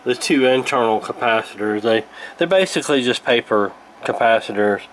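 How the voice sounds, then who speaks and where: A man giving a casual hands-on repair tutorial in a halting, unscripted, explanatory way. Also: medium pitch, animated, low-quality recording. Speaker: radio repair video host. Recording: clear background noise.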